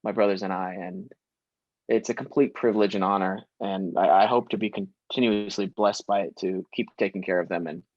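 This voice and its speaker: A man's voice: sits low, fairly even intonation, brisk, a little mellow, dry recording, dull audio. A young man born in Syria, a guest actor talking casually and emphatically in an interview, laughing often.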